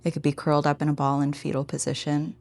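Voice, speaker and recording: A woman teaching a guided meditation in a gentle, informal, encouraging way. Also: sits low, level tone, fast talker, dry recording.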